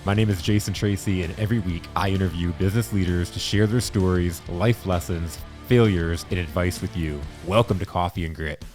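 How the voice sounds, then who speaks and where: A man hosting a casual podcast interview in a studio, loud and exuberant, laughing often. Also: low voice, fairly even intonation, brisk, hardly any pauses, soft background music. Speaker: podcast host.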